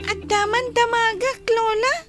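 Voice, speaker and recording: A woman's voice: very high-pitched, fairly even intonation, measured pace, a bit bright, soft background music. A woman playing an inquisitive young child in a radio drama. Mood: curious, wistful.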